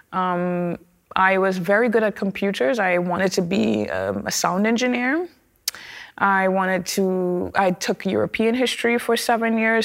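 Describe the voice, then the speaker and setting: A woman from Trinidad and Tobago with a Trinidadian accent, a guest speaking thoughtfully in an interview and often pausing with fillers and restarts. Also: mid-range voice, gravelly, fairly bright tone. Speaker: opera singer, guest. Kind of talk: conversational interview.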